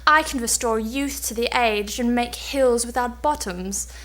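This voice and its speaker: A woman's voice: fairly high pitch, measured pace, fairly bright tone. A woman playing a boastful, cunning old sorceress, declaiming in an amateur cold reading of an old stage play. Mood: proud, solemn.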